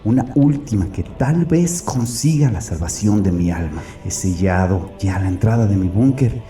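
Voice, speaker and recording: Man acting a deep-voiced, brooding fanatical murderer. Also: expressive, normal speed, warm tone, natural voice. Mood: brooding, penitent. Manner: brooding, solemn, confessional. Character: tormented fanatical male murderer hiding in a bunker. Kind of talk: dramatic first-person audio story monologue. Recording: echoey, distant, music behind.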